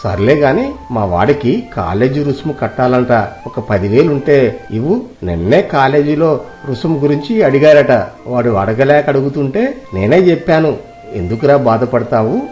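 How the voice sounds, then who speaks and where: A man's voice: medium pitch, lively intonation, moderate pace, a little mellow, soft background music, lightly acted. A man voicing a wheedling, fussing elder brother, an older village man in a dramatized moral story. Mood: coaxing, solicitous.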